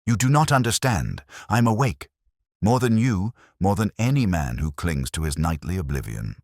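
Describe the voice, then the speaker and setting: A man voicing a coolly grandiose, fanatical murderer in an audiobook, with a calm, menacing, declamatory delivery. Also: deep voice, normal speed, dry recording, clean studio sound, voice pushed up, full character voice. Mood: fervent, cutting. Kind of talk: dramatized audiobook villain.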